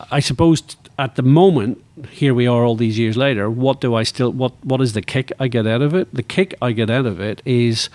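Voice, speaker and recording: A man's voice: medium pitch, darkish tone, no echo. A sixty-year-old man with a Northern Irish accent, talking reflectively and enthusiastically as a guest in a conversational interview. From Northern Ireland. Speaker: interview guest, motor racing executive.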